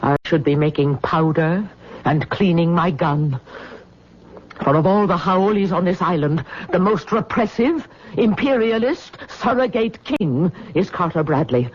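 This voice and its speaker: Imperious, husky-voiced island queen. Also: on the low side, lively intonation, measured pace. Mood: furious, bitter. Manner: grand, declamatory, vehement. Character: imposing middle-aged Polynesian queen, enormous, imperious, angry mother. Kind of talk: old-time radio drama acting. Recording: dull lo-fi vintage radio recording.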